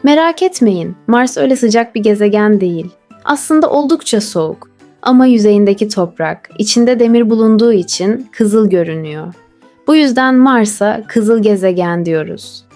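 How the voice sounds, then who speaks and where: A woman's voice: medium pitch, lively intonation, moderate pace, warm tone, faint music behind, lightly acted. A woman voicing a knowledgeable, reassuring space guide who explains things to children in a storybook tale.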